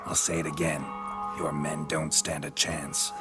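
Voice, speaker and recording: Game role: gruff male monster hunter, deep and gravelly, laconic, unimpressed. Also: a little slow, over loud music. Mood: stern, commanding. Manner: terse, clipped, deadpan delivery. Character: gruff, stoic male monster-hunting mercenary in dark fantasy. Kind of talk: video game cutscene acting.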